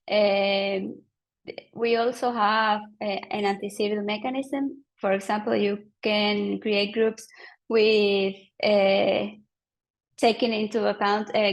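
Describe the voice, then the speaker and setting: Female presenter, unhurried and halting, strong vocal fry, informal project introduction. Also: medium pitch. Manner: halting, hesitant. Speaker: project team member presenting.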